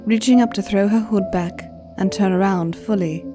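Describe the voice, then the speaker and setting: A woman narrating a scripted story in a measured, gentle storytelling manner. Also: mid-range voice. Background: faint music.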